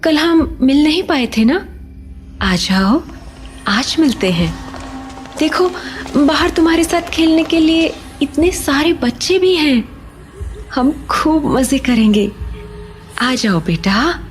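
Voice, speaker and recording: Seductive undead mother, high-voiced and luring. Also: animated, slow pace, long pauses, soft background music. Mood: sweetly luring. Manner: coaxing, teasing, sweetly insistent. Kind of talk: Hindi horror audio drama.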